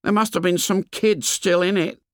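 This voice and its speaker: A man's voice: sits high, steady tone, moderate pace, smooth, no room sound, studio quality, pitched above the performer's own voice, lightly acted. A man voicing a fat, anxious schoolboy with asthma who chatters eagerly in ungrammatical speech. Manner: eager, working-class boy's grammar.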